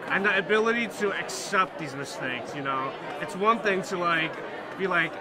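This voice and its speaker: Earnest young man, loud crowd behind. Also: high voice, lively intonation, moderate pace. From Hong Kong, raised in New York. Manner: earnest, many "you know" fillers. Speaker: interviewee, graduate student. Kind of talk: casual interview. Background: crowd chatter.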